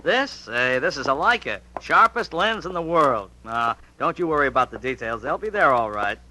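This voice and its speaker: An actor playing a brisk, breezy male photographer in a 1950s radio drama. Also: mid-range voice, big pitch swings, sharp tone. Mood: breezy, confident. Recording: hissy 1950s radio recording.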